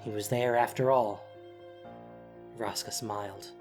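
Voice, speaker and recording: A narrator with a husky, breathy voice reads a dramatic story in measured, solemn, deliberate phrases. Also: medium pitch, steady tone, a little mellow, soft background music.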